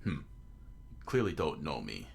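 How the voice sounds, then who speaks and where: A man voicing a cool, determined pirate fighter in a terse, low-key way for a story reading. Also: sing-song, unhurried, creaky, darkish tone, slightly rough, exaggerated voice.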